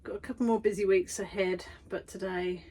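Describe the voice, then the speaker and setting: A woman's voice: mid-range voice, fairly even intonation, fairly quick, dark tone, husky. A woman vlogging from her garden shed studio, chatty and self-deprecating, tired after busy weeks. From United Kingdom. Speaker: vlogger and art workshop tutor. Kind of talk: casual chatty vlog.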